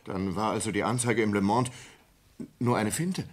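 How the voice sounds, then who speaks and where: An actor playing an agitated, suspicious sailor who feels duped, in a husky voice, in a German radio play. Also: male voice, fairly low pitch, normal speed, lightly acted. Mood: agitated, indignant. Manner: agitated, erratic.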